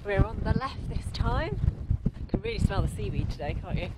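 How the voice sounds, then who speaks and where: A woman travel vlogger chatting casually and playfully in an excitable way on holiday. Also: medium pitch, normal speed. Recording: loud noise, outdoor travel camera audio.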